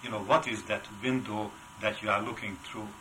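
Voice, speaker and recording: Older hungarian guy, heavy accent, bright kinda low voice, lots of uhs. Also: steady tone, a little hiss or hum, rough recording. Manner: halting, many filler sounds. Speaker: wood carver, interview guest. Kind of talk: casual interview.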